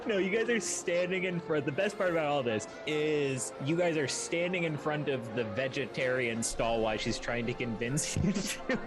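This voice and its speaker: A man's voice: on the high side, animated, moderate pace, over music, poor audio. A man running a tabletop game as game master, narrating and explaining casually.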